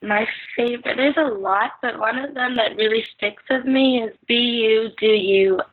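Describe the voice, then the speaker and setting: A woman's voice: fairly high pitch, moderate pace. A young woman from the Philippines, a podcast guest, talking in an earnest, reflective way with many "like"s and "so, yeah"s. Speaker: podcast guest, clothing brand founder. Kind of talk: casual chat. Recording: tinny phone-like call line.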